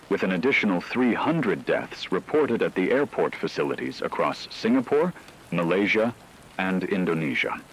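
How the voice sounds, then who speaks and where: Man acting as a radio drama news anchor, low voice, grave, formal, measured, factual, slight background noise. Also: fairly bright tone, full character voice, low-quality recording. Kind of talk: scripted breaking radio news report.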